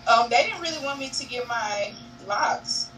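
A woman's voice: on the high side, normal speed. A young woman in a casual interview on a video call, candid and laughing often, calling people honey and y'all. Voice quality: naturally monotone voice. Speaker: reality show cast member, guest. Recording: distant mic with faint noise.

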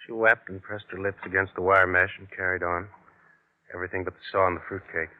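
A tinny vintage radio recording of a young jailed tough guy, played by a man. He talks fast in a lowish voice, flippant and sardonic, a cynical wisecracker from classic radio drama. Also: little pitch movement, natural voice. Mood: bitter sarcasm. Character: cynical, wisecracking young male murder suspect in jail. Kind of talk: old-time radio acting.

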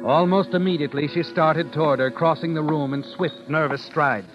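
A sly, insinuating mystery narrator played by a man from vintage radio. His voice is slightly high for a man, with clear music behind a muffled broadcast recording. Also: fairly even intonation, fairly quick, close to an everyday voice. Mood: sly, knowing. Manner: insinuating second-person address. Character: sly, knowing male mystery narrator, needling the heroine.

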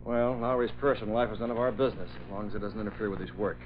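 A man acting a calm, authoritative, inquisitive newspaper editor in an old-time American radio drama. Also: lowish voice, rapid-fire, music in the background, close to an everyday voice. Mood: calm, curious. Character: calm, probing male newspaper editor in charge. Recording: muffled old radio recording.

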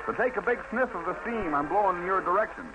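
A man's voice: sits high, expressive, quick pace. An American man announcing a 1940s radio variety show with showy, hep-talking jive patter. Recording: vintage radio broadcast, muffled and thin. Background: music.

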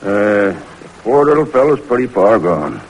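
An actor playing a plain-spoken, wary hospital doctor in a 1940s radio drama. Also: male voice, fairly low pitch, big pitch swings, unhurried, darkish tone, highs cut off. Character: gruff, skeptical but willing hospital doctor. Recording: old radio recording with faint noise.

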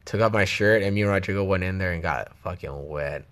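A man's voice: deep voice, brisk, fairly bright tone, clean tone. A young man from the Los Angeles area vlogging in casual chat with his girlfriend, joking and cursing freely with slang.

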